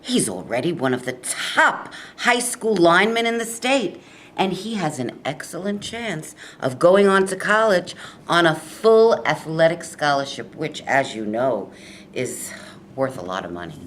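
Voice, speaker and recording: A woman playing an earnest, reassuring high school football coach in a staged reading, with a measured, persuasive delivery. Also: very animated, a bit bright, full character voice.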